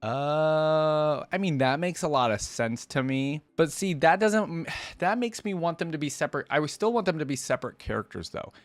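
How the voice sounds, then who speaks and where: A man hosting a reaction video, reading aloud and thinking out loud in a casual, conversational way. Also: fairly high pitch, expressive, normal speed, hardly any pauses, no echo.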